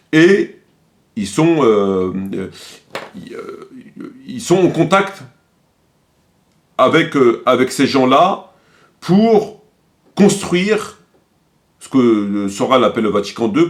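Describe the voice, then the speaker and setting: A man speaking off the cuff in a didactic, polemical way, often repeating words as he searches for the next one. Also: medium pitch. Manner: polemical, didactic, step-by-step explaining. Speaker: commentator. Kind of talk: unscripted religious-political commentary.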